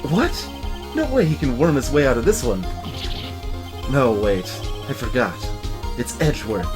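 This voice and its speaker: A man's voice: medium pitch, big pitch swings, a little slow, voice pushed down. A man voicing an earnest, combative young male defense lawyer who gets easily rattled, in a video game. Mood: anxious, worried. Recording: music playing behind the voice.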